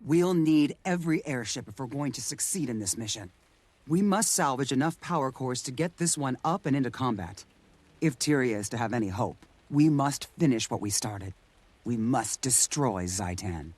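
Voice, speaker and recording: Game casting: man as earnest heroic commander, husky tone, lively intonation, resolute. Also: mid-range voice, moderate pace, natural voice. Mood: grave resolve. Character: resolute, earnest male military commander hero. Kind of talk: video game cutscene acting.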